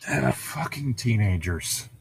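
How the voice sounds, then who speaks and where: A man's voice: mid-range voice, lively intonation, slow pace, gravelly, slightly rough, faint music behind. A man bantering casually as he reads a novel aloud, swearing freely and heckling the text. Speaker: co-host of a comedic read-aloud show.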